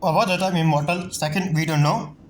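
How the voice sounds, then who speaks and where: A woman's voice: sits low, steady tone, brisk, darkish tone, a little hiss or hum. A woman giving casual, informal, reactive commentary as she streams a video game, with game music behind.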